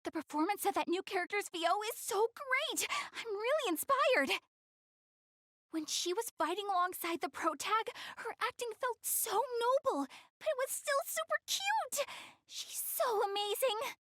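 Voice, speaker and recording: Cute anime goddess girl, animated intonation, flustered, proud, video game dialogue, very dry close sound. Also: extremely high pitch, a little slow, dry recording, exaggerated voice. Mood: excited, gushing. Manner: bashful, defensive, stammering when embarrassed. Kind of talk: anime video game voice acting, addressing the player.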